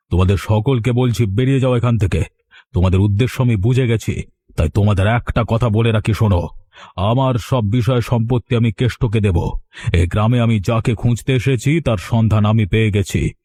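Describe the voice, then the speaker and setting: A man's voice: lowish voice, normal speed, warm tone, husky. A man voicing an elderly, shrewd village uncle in a cartoon, with a theatrical, exclamatory delivery. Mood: stern, angry. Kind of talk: Bengali cartoon voice acting.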